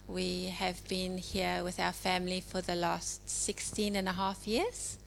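A South African woman in her forties giving an informal church message, talking in a conversational way with many pauses and ums. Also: fairly low pitch, little pitch movement, gravelly. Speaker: church speaker. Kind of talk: informal sermon. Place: church hall. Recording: hall reverb with faint hum.

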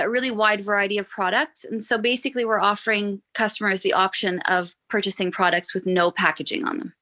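A woman's voice: mid-range voice, bright, forceful tone. A Canadian woman in her thirties, a shop owner, friendly and explanatory with frequent ums as a podcast guest. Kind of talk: podcast interview.

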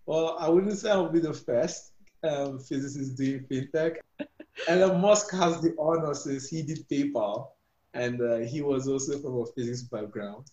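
A man's voice: medium pitch, a little slow, dark tone, reverberant, lo-fi sound. A man in his twenties from Nigeria, a guest on an interview podcast, speaking hesitantly with many ums and restarts. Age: 20s. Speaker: guest, physics PhD student and startup co-founder.

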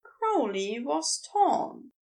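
A woman reading scripted fiction as an audiobook narrator, with an expressive, measured storytelling delivery. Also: high voice.

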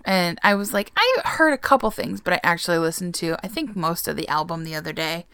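A woman's voice: on the low side, lively intonation, a little fast, pushed and bright, smooth, dry recording, clean studio sound. A young adult woman chatting casually as a co-host, gushing and laughing, sometimes dropping to a whisper. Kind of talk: casual chat.

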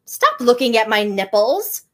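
A woman reading aloud in a lively voice as a grumpy, flustered young male programmer who sputters in exasperation. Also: a little high, measured pace, heavily acted.

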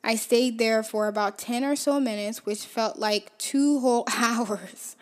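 A woman in her twenties, a native Haitian Creole speaker from Haiti, hosting a podcast and telling her life story in a reflective way, often letting her phrases trail off quietly. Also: a little slow. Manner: reflective, often drops to a soft low trail at phrase ends. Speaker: podcast host. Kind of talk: personal storytelling.

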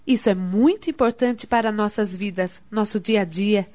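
A woman's voice: mid-range voice, normal speed, darkish tone, lightly acted. A woman with a Brazilian accent playing a devout, proud spiritist in a radio soap opera, speaking in an earnest, formal, didactic way. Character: devout, proud, helpful spiritist daughter of a matron. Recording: dull lo-fi radio drama.

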